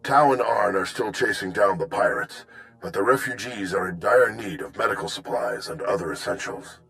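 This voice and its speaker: An actor playing a courteous, dignified general who speaks formally and with stately manner in a game cutscene. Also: male voice, lowish voice, moderate pace, creaky, a bit bright, poor audio. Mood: formal, apologetic. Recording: background music under the voice.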